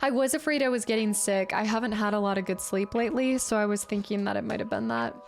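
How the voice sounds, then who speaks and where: A woman's voice: medium pitch, animated, fast, a little hiss or hum. A woman hosting a casual live stream, chatting to herself and viewers as she works, sounding slightly congested. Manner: chatty, murmuring to self and replying to viewers. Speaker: livestream host. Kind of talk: casual live stream chat while building. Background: music.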